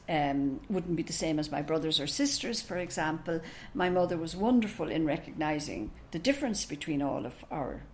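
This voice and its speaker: An older woman with an Irish accent whose first language is Irish, answering interview questions in a thoughtful, unhurried, explanatory way as a retired professor. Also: deep voice, a little hiss or hum. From Ireland.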